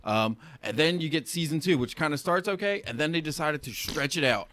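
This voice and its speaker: A man's voice: on the high side, expressive, brisk, a bit bright. A man co-hosting a podcast, giving opinionated casual commentary in an emphatic, slangy and blunt way. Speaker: podcast co-host.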